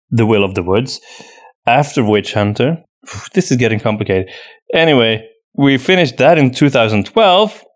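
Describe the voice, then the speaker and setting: Male podcast co-host, Flemish accent, native Dutch. Medium pitch, conversational delivery, restarts phrases while thinking. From Flanders, Belgium. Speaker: podcast co-host and audio drama writer. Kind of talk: casual conversational Q&A.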